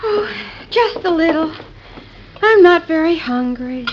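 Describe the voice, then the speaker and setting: Very high, dreamy lovestruck girl. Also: animated, takes it slowly, heavily acted. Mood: absent-minded. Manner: airy, absent-minded. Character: dreamy lovestruck young daughter, female. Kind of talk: old-time radio drama acting. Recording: dull, hissy old radio recording.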